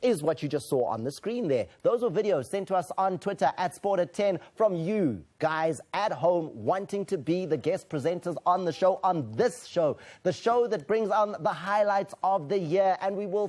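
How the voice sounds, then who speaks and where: A man's voice: fairly high pitch, a little mellow. A man presenting a sports show, upbeat and talking straight to viewers. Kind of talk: studio presenting.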